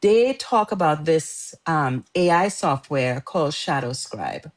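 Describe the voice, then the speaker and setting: A woman's voice: sits low, expressive, slow. A middle-aged woman from Trinidad, an author chatting as a guest in an interview, talkative and laughing often. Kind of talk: casual interview chat.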